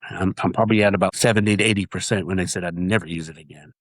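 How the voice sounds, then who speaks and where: Earnest nasal-voiced man, low pitch. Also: steady tone, no echo. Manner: earnest, halting with repeated restarts. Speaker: guest sharing his testimony. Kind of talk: casual interview talk.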